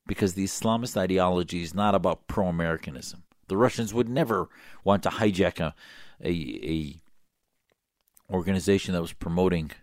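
A man with a low, gravelly voice delivers political talk-show commentary. He sounds emphatic and combative, with sarcastic jabs. The recording is dry and close. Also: normal speed, no echo.

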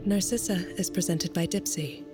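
A woman reading a show's sponsor message and content warning in a smooth, sultry way. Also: lowish voice, moderate pace, over music. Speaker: show announcer. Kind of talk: scripted announcement.

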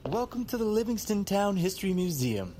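A man's voice: sits high, sing-song, brisk, warm tone, a little hiss or hum. A man playing a polite, formal, easily flustered small-town museum guide in an audio drama. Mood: polite, eager.

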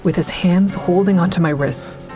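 A woman's voice: fairly low pitch, normal speed, natural voice. An actress playing a bitter, defiant woman who narrates a confrontation with her former partner in an audio drama. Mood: grave, reflective. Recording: music behind the voice.

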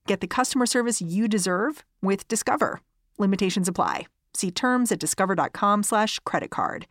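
A woman's voice: medium pitch, animated, normal speed, a bit bright, no room sound, clean studio sound. A woman reading a scripted sponsor advertisement. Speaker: ad reader.

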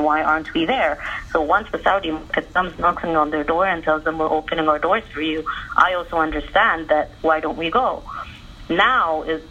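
Woman, bright voice, earnest and fluent, dull phone line, faint hiss. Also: sits low. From Egypt. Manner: earnest, fluent, quick to explain. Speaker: tennis journalist guest. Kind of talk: conversational interview answers.

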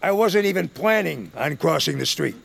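A man's voice: fairly high pitch, expressive, moderate pace, gravelly, a bit bright, breathy edge, full character voice. A man voicing a grumpy, stubborn, crotchety old townsperson in an audio fiction comedy.

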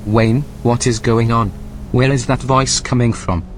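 A man playing an officious male artificial intelligence in an audio drama, speaking in formal, measured, precise sentences. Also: mid-range voice, steady tone, a little hiss or hum, lightly acted. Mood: bewildered. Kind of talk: scripted audio drama acting.

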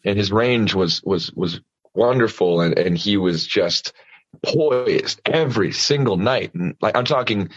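A man's voice: on the low side, unhurried, highs cut off. A male singer talking casually and earnestly about voice care, often restarting his sentences as he gives practical advice. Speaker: singer, guest. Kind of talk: casual conversation.

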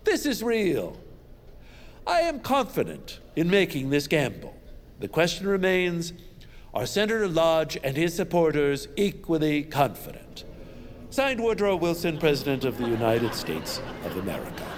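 A smug old-time American senator in an audio drama. He is mocking and folksy, with a very animated delivery. His voice rings in a big hall with noise around it. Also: fairly high pitch, unhurried. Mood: gleeful, sarcastic. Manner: sarcastic, gloating, folksy showmanship. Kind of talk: audio drama speech, theatrical letter reading.

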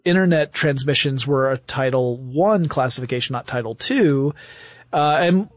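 A man's voice: medium pitch, moderate pace, dry recording. A man co-hosting a history podcast, explaining and telling anecdotes conversationally with a wry touch. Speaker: podcast co-host.

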